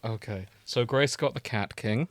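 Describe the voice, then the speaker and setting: A man talks casually as a podcast co-host. His voice sits at a medium pitch in a very dry recording. He teases and swears as he banters. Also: measured pace, no echo. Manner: teasing, sweary. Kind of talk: casual banter about TV with friends.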